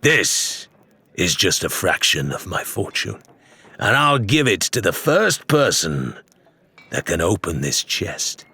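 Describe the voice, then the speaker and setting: A man voicing a boastful, commanding pirate captain in a low, level, assured voice for an audiobook. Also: very animated, bright, forceful tone, husky, dry recording, exaggerated voice. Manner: assured, deliberate. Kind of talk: audiobook character voice.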